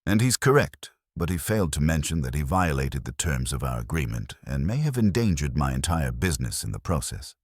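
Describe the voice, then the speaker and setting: A haughty, poised businesswoman, read by a man, speaks with cool, dismissive assurance. The voice is very deep and moves lively in pitch. The sound is close and dry. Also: fairly quick, few pauses, husky, no echo, full character voice. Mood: cool, assured.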